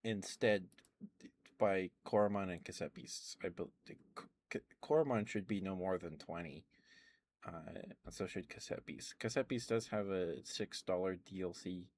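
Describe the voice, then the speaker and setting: A man with a very deep voice rambles softly into a close mic during a live ASMR stream. He meanders between topics, filling pauses with ums and small laughs. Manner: meandering, chatty, lots of ums and little laughs. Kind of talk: soft rambling ASMR chat with live viewers.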